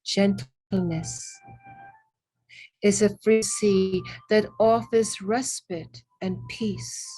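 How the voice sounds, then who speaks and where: Woman doing guided meditation, super slow soothing gentle delivery, reverent, lots of long pauses, medium pitch. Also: steady tone, soft background music, poor audio. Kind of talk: guided meditation with ASMR elements.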